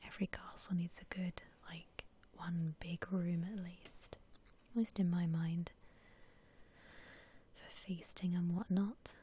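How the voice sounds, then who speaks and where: A woman whispering gently and unhurriedly in a soft, breathy ASMR style, musing aloud as she comments on a game. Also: lowish voice, steady tone, slow pace, slight background noise. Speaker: host of an ASMR gaming channel.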